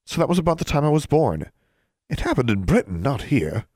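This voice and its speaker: A man voicing a prim woman schoolteacher with a formal, measured, admonishing manner, in an audiobook. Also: on the high side, very animated, a little mellow, dry recording, clean studio sound, pitched above the performer's own voice. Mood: guarded.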